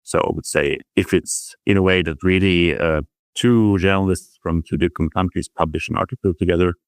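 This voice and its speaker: Man, very low voice, measured, lots of uh fillers, dry sound. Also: fairly even intonation, dry recording. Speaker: journalist guest. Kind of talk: interview answers.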